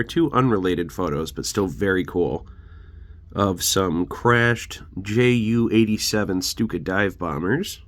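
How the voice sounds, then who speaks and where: Low male voice, stuffed-up with a cold, informal hobby host, rambling show-and-tell narration. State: sick, congested. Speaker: host of a militaria collection channel.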